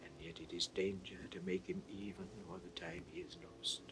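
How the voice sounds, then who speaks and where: A man playing a calm, caring court physician, soothing and advising, in classical Shakespeare audio drama style. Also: sits low, fairly quick, whispered, poor audio. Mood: reassuring.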